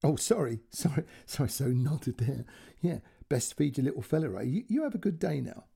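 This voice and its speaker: A man's voice: fairly high pitch, very animated, moderate pace, creaky, warm tone, breathy edge, full character voice. A man playing a polite, chatty young policeman in a story reading, eager and curious with an apologetic, hesitant manner. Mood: flustered.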